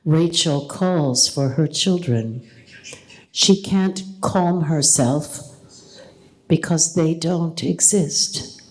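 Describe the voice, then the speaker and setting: A woman reading an English translation of a poem aloud in a calm, measured way at a live reading. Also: low-pitched, rough recording. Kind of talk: poetry reading. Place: gallery reading event.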